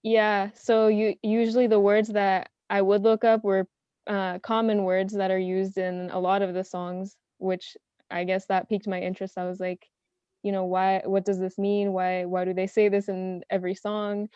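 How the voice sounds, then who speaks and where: A soft-spoken young woman talks casually as a panelist, her voice mellow and smooth. Her tone stays flat and even, and the dry call audio sounds slightly dull. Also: mid-range voice, normal speed, no echo. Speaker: panelist, self-taught language learner. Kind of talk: casual online round-table chat.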